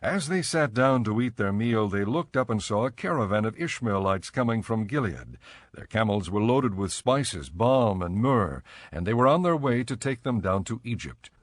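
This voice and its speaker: A man narrates scripture from a script. His voice sits fairly low, and the studio sound is dry and close, with no room around it. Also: expressive, normal speed, no room sound.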